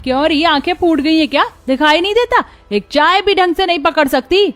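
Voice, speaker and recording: A woman voicing a cruel middle-aged aunt in a Hindi cartoon story, with sharp, melodramatic delivery. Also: very high-pitched, brisk. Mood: scolding, contemptuous.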